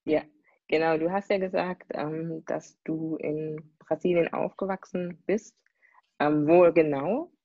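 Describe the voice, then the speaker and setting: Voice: mid-range voice, steady tone, takes it slowly, dry recording, narrow, tinny audio. A podcast host asking questions in a casual way, with hesitant fillers.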